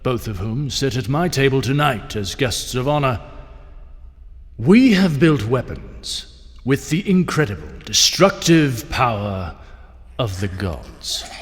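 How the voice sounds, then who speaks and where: A man's voice: medium pitch, very animated, slow. An actor playing a grand middle-aged king who gives a ceremonious speech to a banquet hall. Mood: solemn. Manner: ceremonious, rousing oratory. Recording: long hall reverb with crowd murmur.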